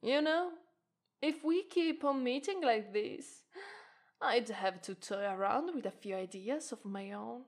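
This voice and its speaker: A woman voicing a playful, flirtatious witch in an ASMR roleplay, speaking slowly and teasingly, with an Italian native tongue. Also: on the high side, expressive.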